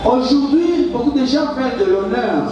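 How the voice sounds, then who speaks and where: A man's voice: very high voice, animated, normal speed, dull audio. A man interpreting a sermon live, phrase by phrase, sometimes hesitating and correcting himself. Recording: echoey hall, far from the mic, noisy.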